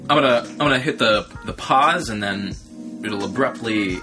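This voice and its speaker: A man's voice: mid-range voice, normal speed. Mock-serious man chatting over music. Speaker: podcast co-host. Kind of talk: casual banter.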